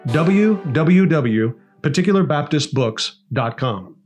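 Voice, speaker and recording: A man's voice: a little high, animated, slow, a little mellow. A man reading a scripted promotional announcement for a nonprofit publisher. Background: music under the voice.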